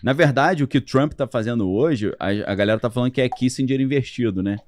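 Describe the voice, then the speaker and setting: A man's voice: medium pitch, fast, background noise. A man talking as a podcast guest, explaining history in a casual, loose way with plenty of slang and fillers.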